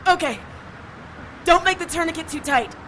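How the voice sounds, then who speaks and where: High-pitched tough wounded woman. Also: moderate pace, fairly bright tone, slight background noise. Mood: pained, wry. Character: tough, wisecracking wounded woman, stubborn and bossy.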